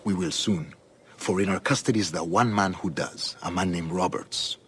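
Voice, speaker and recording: An actor playing a middle-aged Spanish governor and Templar grandmaster in a video game, solemn and zealous, with a Spanish accent and measured, ceremonious oratory. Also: male voice, deep voice, a bit bright, slight background noise. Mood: grave, zealous. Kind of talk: dramatic video game acting. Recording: background music behind the voice.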